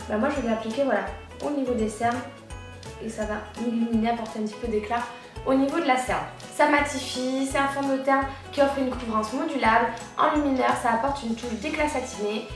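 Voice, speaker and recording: A woman's voice: a little high, fairly quick, distant mic. A woman with a cold chatting casually as a beauty vlogger while she tests and applies makeup. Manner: chatty, rambling, frequent fillers. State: congested with a cold. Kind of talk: casual makeup chat while applying products. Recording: very echoey room, off-mic, faint music.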